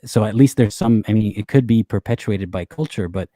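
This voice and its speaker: A man hosting a call-in show, speaking calmly with brief interjections. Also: lowish voice, a little fast, no room sound, clean studio sound. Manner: calm, brief interjections and affirmations. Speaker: host. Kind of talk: casual call-in conversation.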